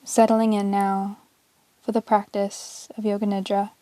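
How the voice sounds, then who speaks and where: A woman leads a guided yoga nidra meditation at a very unhurried pace. Her voice is soft-edged and mellow, staying on an even, level line. She sounds calm and soothing, leaving long pauses in a close, dry recording. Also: mid-range voice, no room sound. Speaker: yoga teacher guiding a meditation.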